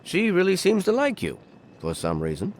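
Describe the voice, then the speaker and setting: A man's voice: high-pitched, huge pitch swings, moderate pace, slight background noise, full character voice. A man playing a stern, pompous, authoritarian vault overseer in a video game, formal and condescending. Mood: stern, warning. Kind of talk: video game character acting.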